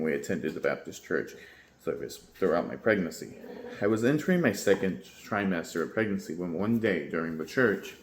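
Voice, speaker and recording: Deep-voiced man reading aloud, echoey room. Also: a little hiss or hum. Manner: steady read-aloud, stumbling and correcting himself mid-line. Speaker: YouTuber reading a book aloud. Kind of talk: reading a book aloud, then casual commentary.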